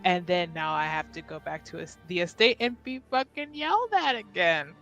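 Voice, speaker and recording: A woman's voice: lowish voice, lively intonation, normal speed, a bit bright, faint music behind, voice pushed down. A woman voicing an exasperated, wary, foul-mouthed female character in a tabletop game, with a dry, sardonic manner.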